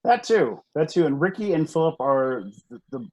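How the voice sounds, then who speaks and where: A man with a husky voice talking casually as a director on a video call panel. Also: on the high side, sing-song, normal speed, a little mellow. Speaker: director and script adapter. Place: remote group video call.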